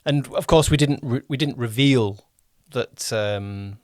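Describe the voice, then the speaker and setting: A man's voice: medium pitch, measured pace, darkish tone, no room sound, clean studio sound. A man hosting a conversational podcast interview, often rephrasing his questions as he goes. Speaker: podcast host and producer.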